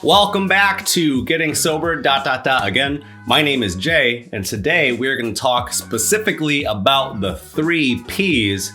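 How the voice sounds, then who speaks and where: A man's voice: medium pitch, lively intonation, unhurried, few pauses, pushed and bright, soft background music. A man with a congested, stuffy voice hosting his own sobriety channel, chatting casually, laughing at himself, full of fillers and restarts. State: congested from allergies. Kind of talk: casual solo video talk.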